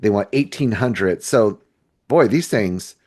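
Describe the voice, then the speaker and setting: Middle-aged American male co-host, lower register, informal and conversational, thinks aloud. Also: animated, slow pace, darkish tone, husky. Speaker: podcast co-host. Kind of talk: casual discussion.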